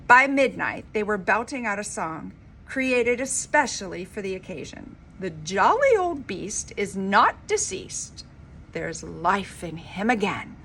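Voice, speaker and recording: A woman's voice: medium pitch, very animated, a little slow, a bit bright. Woman reading a storybook aloud, noisy recording. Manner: dramatic, emphatic delivery with informal asides. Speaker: teacher reading a picture book aloud. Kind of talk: expressive children's storybook read-aloud. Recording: clearly noisy homemade recording.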